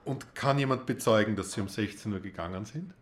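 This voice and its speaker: An actor playing a formal, probing male police inspector in a radio drama, speaking in an official, measured way. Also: fairly low pitch, lightly acted. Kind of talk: naturalistic radio drama acting.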